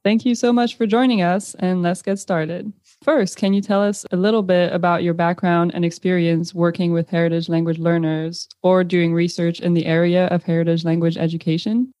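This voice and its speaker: A woman moderating a panel, reading out her questions in a brisk, scripted way over a video call. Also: fairly low pitch, little pitch movement, mellow, no room sound, clean studio sound, soft background music.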